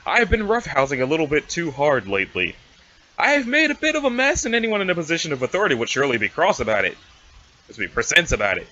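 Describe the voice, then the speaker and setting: A man acts out a pompous alien aristocrat in a comic drama reading. The delivery is stilted and haughty, with florid formal wording and a bright, forceful edge. The recording is slightly dull and homemade. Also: fairly high pitch, big pitch swings, fast. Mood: haughty, agitated. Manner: stilted, haughty, wordy diction.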